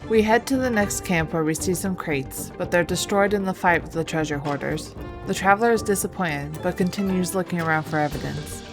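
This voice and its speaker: A woman's voice: on the low side, brisk. A woman reading scripted narration of a video game story as a storyteller. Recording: voice-over with music bed. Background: music.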